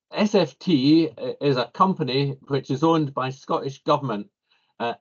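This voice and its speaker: Measured older engineer on a call. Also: male voice, mid-range voice, muffled recording. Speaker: panelist, chartered civil engineer. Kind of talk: webinar panel introduction.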